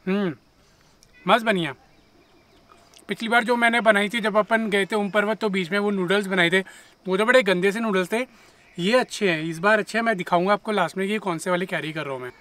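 A man's voice: high-pitched, fairly quick, fairly bright tone. A man in his thirties chatting casually to viewers while cooking outdoors beside a camper van at a lake, upbeat and talkative. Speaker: travel vlogger.

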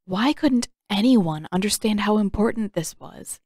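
A woman's voice: medium pitch, expressive, a little slow, a little mellow, husky, no room sound, studio quality. A woman reading scripted audiobook fiction as the narrator.

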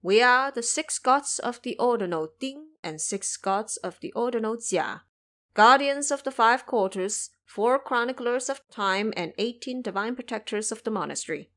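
A woman's voice: on the high side, animated, normal speed, a bit bright. A solemn heavenly guardian voiced by a woman in an audio drama. The deity declaims formally and with stately authority, like an official announcing titles. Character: solemn heavenly guardian deities speaking from the air.

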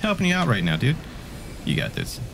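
A man bantering casually as he comments on a friend's video game, joking and swearing freely in an excitable way. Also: medium pitch, a little fast, vocal fry, a little hiss or hum. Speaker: co-host commenting on a friend's gameplay. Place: indoor room, webcam setup beside another player. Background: game music.

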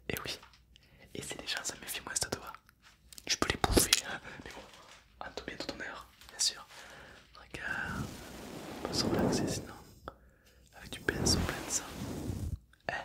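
A young man plays a calm, chatty zombie in a breathy, close-miked ASMR whisper. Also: close to an everyday voice. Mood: playful, mock-menacing. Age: 20s. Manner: slow, hushed, rambling, playful. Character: calm, chatty, self-deprecating male zombie, mock-menacing. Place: indoor studio setup. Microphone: close stereo microphones either side of the head.

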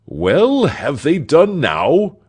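An impatient doctor played by a male reader in a storybook tale. The voice jumps high and loud, swooping through brisk questions and exclamations as he orders a servant about. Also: wildly swooping pitch, slightly rough, heavily acted. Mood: urging haste. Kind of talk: storybook character voice.

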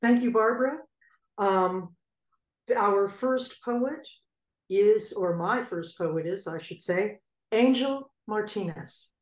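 A woman's voice: mid-range voice, slow. A woman hosting an online event, casually introducing readers. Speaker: host. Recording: roomy, echoey video-call sound.

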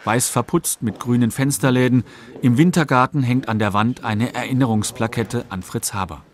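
A man reading scripted documentary narration in a factual, measured manner. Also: mid-range voice.

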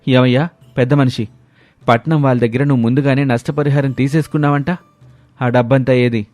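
A man's voice: medium pitch, steady tone, a little fast, a little mellow, dry recording, faint music behind, close to an everyday voice. An actor playing a clever, level-headed villager who rallies his neighbours in a cartoon moral story. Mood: accusing.

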